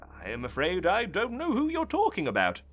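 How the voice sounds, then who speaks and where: Man acting defiant gentleman, radio play, extremely animated pitch, forceful tone, formal, indignant. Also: normal speed, gravelly, heavily acted. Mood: nervous defiance.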